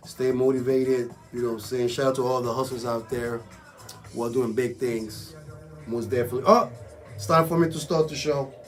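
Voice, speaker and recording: A man with a raspy voice hosting a casual, improvised community radio show in an exclamatory hype style, repeating catchphrases. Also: mid-range voice. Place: radio studio.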